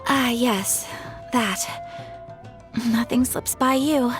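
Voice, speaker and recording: A young woman playing a gracious, modest noblewoman in a video game, with polite, formal and measured delivery. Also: fairly high pitch. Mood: modest, confiding. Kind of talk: animated game voice acting. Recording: music playing behind the voice.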